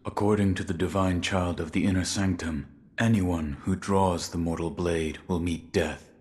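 A male actor playing a grim, loyal shinobi swordsman in a video game, speaking in a terse, formal deadpan. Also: low-pitched, normal speed, lightly acted. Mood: stoic, solemn.

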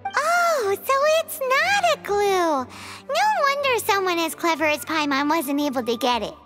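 A woman's voice: very high voice, lively intonation, unhurried, over music. A woman voicing a tiny floating girl companion, chirpy and boastful, who talks about herself in the third person. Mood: cheeky. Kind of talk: game dialogue.

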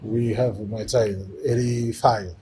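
A man from Cameroon in his forties with a French accent, speaking in halting, simple English with many fillers as an interview guest in a boxing gym. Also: lowish voice, steady tone, dark tone, breathy edge. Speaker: guest, former fighter. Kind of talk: casual interview. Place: boxing gym, seated in ring.

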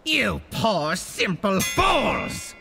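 A man's voice: high-pitched, big pitch swings, very slow, vocal fry, over music, full character voice. A man voicing a boastful, theatrical cartoon monster villain for a video game, with a hammy, swooping delivery. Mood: gloating, manic.

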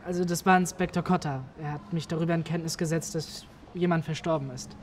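A man's voice: fairly high pitch, fairly even intonation, fast talker, close to an everyday voice. Man playing young amateur detective, earnest, hesitant, polite, naturalistic film dialogue, background noise. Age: young adult.

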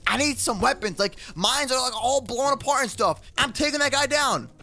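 A man playing an excitable, sassy kid hero in an animated gaming roleplay, peppering his talk with slang. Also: very high-pitched, normal speed, faint music behind, full character voice. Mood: indignant. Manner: slangy, says bro and dude constantly. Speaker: gaming video creator playing the lead character. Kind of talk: animated Minecraft kids roleplay acting.

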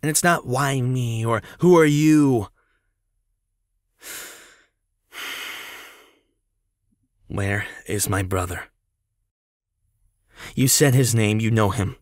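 A man's voice: mid-range voice, slow pace, pauses often, no room sound, clean studio sound, close to an everyday voice. A man acting an emotional, pleading young man in an amateur audio drama, desperate for his missing brother. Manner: emotional, pleading, sighing delivery.

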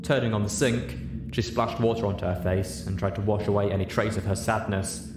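Low-voiced man narrating in an echoey room. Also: steady tone, a little fast. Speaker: audiobook narrator. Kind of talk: scripted story narration. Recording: roomy echo, audible noise, low rumble.

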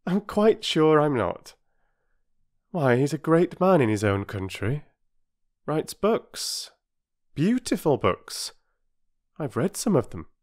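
A man reading aloud and voicing a kind mother who speaks brightly and reassuringly. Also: a little high, very animated, slow, long pauses, pitched above the performer's own voice.

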